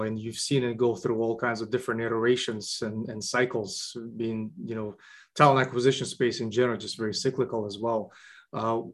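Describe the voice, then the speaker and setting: A man hosting a podcast, asking questions in a conversational interview style. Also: mid-range voice.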